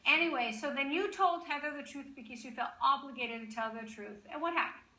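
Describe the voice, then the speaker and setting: A woman's voice: high voice, a little fast, bright, forceful tone. A woman presiding as TV judge, probing and wry as she questions the parties. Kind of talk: courtroom questioning. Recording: echoey courtroom.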